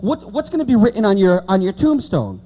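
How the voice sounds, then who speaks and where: A man's voice: high voice, wildly swooping pitch, a little fast, warm tone, a little hiss or hum. A man in his late thirties preaching an informal evangelical sermon, repeating key words for emphasis and speaking conversationally to the congregation. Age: late 30s.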